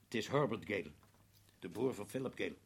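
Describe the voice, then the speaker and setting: A man playing an uneasy, deferential veteran prison guard in a Dutch radio drama, hesitant and husky. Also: lowish voice, animated, fairly quick, exaggerated voice. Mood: dutiful, uneasy. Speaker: actor in a radio play.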